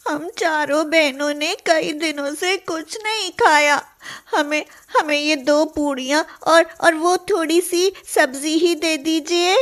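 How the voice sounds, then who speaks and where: Woman as a high-voiced, earnest poor young girl. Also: slow, hardly any pauses, a bit bright. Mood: eager, pleading. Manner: childlike, earnest. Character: poor young sisters, innocent, caring, pleading girls.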